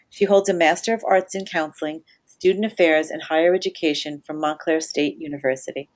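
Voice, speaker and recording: A woman hosting a webinar for an alumni office, reading a scripted introduction of the speaker in a measured, formal way. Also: low-pitched, a little mellow. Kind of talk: scripted introduction read aloud.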